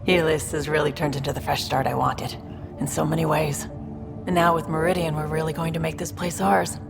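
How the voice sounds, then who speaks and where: An actress playing a tough, wry woman colonist in a video game, dry and teasing with a quiet fondness. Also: deep voice, level tone, fairly quick, gravelly. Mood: fond, hopeful. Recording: long reverb with music.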